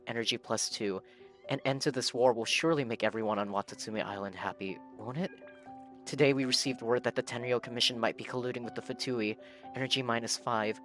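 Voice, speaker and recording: A man's voice: medium pitch, fairly bright tone. A man live-streaming a video game, giving casual reactions and reading game text aloud. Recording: game music behind the voice.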